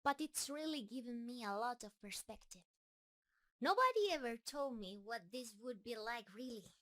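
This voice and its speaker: A woman's voice: a little high, lively intonation, sharp tone. A woman playing a shy, lovestruck giant spider creature in a roleplay audio drama, speaking tenderly and hesitantly to the listener. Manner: nervous, hesitant, tender, halting.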